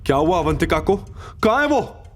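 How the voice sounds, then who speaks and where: A man's voice: a little high, sing-song, normal speed, a little hiss or hum, voice pushed up. A man voicing an intense, commanding rich young hero in an audio drama, urgent and anxious for his love. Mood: alarmed. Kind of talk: audio drama character acting.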